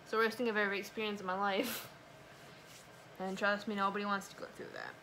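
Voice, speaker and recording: A woman's voice: mid-range voice, flat delivery, a little fast, bright, forceful tone, slight background noise. A young woman telling a personal story in a casual vlog chat. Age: young adult. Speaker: vlogger, new mother.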